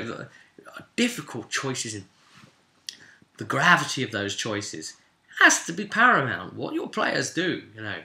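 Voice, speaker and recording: A man speaks in a very bright, forceful voice inside a noticeably echoey room. He is a game master recapping a roleplay session as casual storytelling. His delivery rambles and leans hard on key words, slipping into quoted remarks as he goes. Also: medium pitch.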